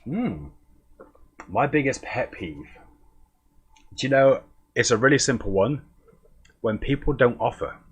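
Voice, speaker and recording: A man with a husky voice, chatting casually and self-deprecatingly as he hosts a podcast interview. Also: mid-range voice, very animated, unhurried, pauses often. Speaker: podcast host.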